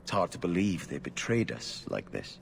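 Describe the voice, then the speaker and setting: Brooding noble, fantasy game drama: lowish pitch, earnest, formal, solemn, slight noise behind. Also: male voice, very animated, moderate pace, vocal fry, exaggerated voice. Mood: earnest, troubled. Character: earnest, brooding young nobleman avenging his family. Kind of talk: video game cutscene acting.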